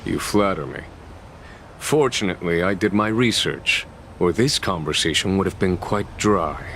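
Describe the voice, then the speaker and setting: A man's voice: sits low, moderate pace, a bit bright, a little hiss or hum. A man voicing a composed, shrewd general in a video game, speaking formally with dry authority. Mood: dry teasing.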